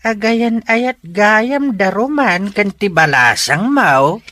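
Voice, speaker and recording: A man playing an easily surprised middle-aged villager in a radio drama, with a husky voice. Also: sits high, expressive, slow pace, pushed and bright, no echo, heavily acted.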